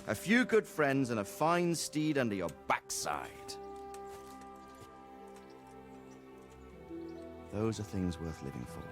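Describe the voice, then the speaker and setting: Game role: middle-aged medieval lord, wry fatherly mentor, animated melody in the delivery, music underneath. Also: medium pitch. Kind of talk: cinematic video game dialogue.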